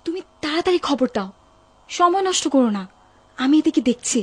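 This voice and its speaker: High-pitched, clever young Marjana. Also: female voice, lively intonation, normal speed, darkish tone. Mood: urgent. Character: clever, resolute young servant girl Marjana. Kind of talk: dubbed cartoon acting.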